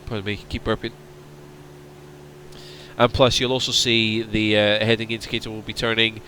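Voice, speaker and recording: A man streaming a flight simulator, chatting casually and answering viewers' questions while his voice starts to strain and go hoarse. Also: fairly low pitch, level tone, normal speed, pushed and bright, a little hiss or hum. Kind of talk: casual livestream chat.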